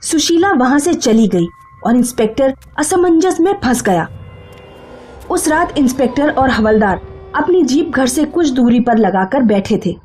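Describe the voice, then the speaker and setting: A woman's voice: sits high, lively intonation, unhurried, a little mellow. A woman narrating a scripted horror story in a dramatic style. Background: background music.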